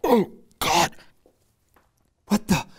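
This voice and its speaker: An actor reading a panicked young man in a table reading, stammering and shouting in alarm. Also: high-pitched, very animated, extremely slow, husky, exaggerated voice. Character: panicked young man who found a body.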